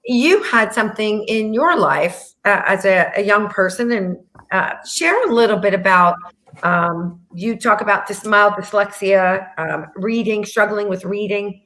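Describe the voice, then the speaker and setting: A woman's voice: mid-range voice, expressive, measured pace, fairly bright tone, low-quality recording. A middle-aged woman hosting an interview in a conversational style. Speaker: interview host.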